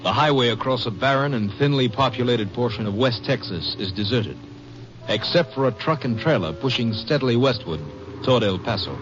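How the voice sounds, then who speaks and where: A man's voice: medium pitch, animated, brisk. A man announcing and narrating an old-time radio drama in a formal, dramatic manner. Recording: dull old radio recording over music.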